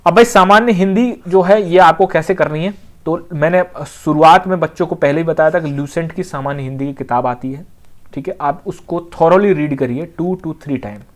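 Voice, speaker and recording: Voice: medium pitch, moderate pace. An exam coaching teacher, explaining things informally and conversationally, repeating points and often checking that students follow. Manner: conversational, with frequent check-in phrases and repetition.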